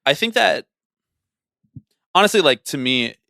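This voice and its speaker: A man's voice: a little high, very animated, a little slow, creaky, bright, forceful tone, no room sound. Young man talking sports, dry close sound. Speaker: podcast co-host. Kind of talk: casual sports discussion.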